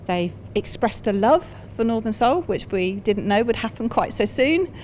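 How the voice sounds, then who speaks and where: Woman interviewed over faint rumbling noise. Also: lively intonation, moderate pace, a little mellow. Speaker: arts project worker. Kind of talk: documentary interview.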